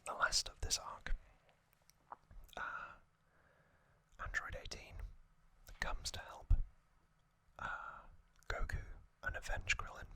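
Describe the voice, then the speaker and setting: Guy doing asmr, all breathy whisper, very bright and sibilant, flat delivery, rambling opinions. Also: slow pace, pauses often. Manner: unscripted, musing, opinionated. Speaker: ASMR video host. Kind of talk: whispered ASMR recap with casual commentary.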